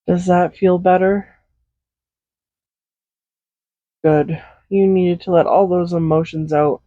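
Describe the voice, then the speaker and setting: A man's voice: sits high, level tone, normal speed, dry recording, phone-quality sound, close to an everyday voice. A man playing a tender, possessive villain in an intimate roleplay audio drama, speaking in a soothing, coaxing, reassuring way. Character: tender, possessive villain comforting a captive loved one. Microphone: close USB condenser microphone.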